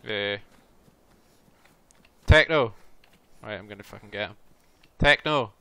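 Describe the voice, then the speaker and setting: A man's voice: on the low side, gravelly, pushed and bright, clean tone. A Scottish man chatting casually over game voice chat, calling out to his teammates. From Scotland. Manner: casual, calls out with quick bursts. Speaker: gamer.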